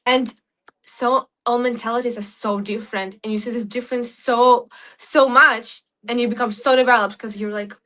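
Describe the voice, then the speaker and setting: Young woman from Ukraine, bright forceful voice, eager interview guest, rushes and restarts. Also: a little high, low-quality recording. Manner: excited, frequent restarts and laughs. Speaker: guest, content creator. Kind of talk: casual interview talk.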